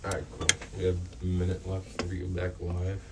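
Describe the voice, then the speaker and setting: Deep-voiced young man, distant and noisy. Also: monotone, fast talker. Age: young adult. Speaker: student radio host. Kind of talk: casual off-air chat between radio segments. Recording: distant mic, loud background noise.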